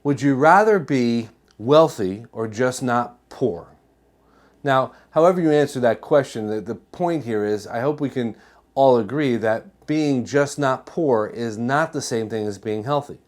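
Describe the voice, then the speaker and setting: A man's voice: mid-range voice, measured pace. Emphatic American man giving a talk. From New Jersey, United States. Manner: emphatic, rhetorical questions, repeats points for effect. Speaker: chiropractor giving a health talk. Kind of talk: educational presentation to an audience.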